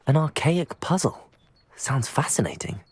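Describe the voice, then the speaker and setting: An actor playing a polite, curious young wizard student in a video game, speaking in a measured way. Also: male voice, on the high side, lively intonation, a little mellow, slightly rough. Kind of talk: video game character acting.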